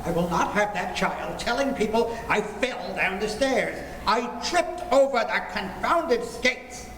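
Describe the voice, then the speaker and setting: A man's voice: high voice, lively intonation, measured pace, fairly bright tone. A man acts a blustery suburban father in a radio family comedy, recorded in a noisy, echoing hall. He grumbles and lectures with stern exasperation. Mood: indignant grumbling. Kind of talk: old-time radio family comedy acting.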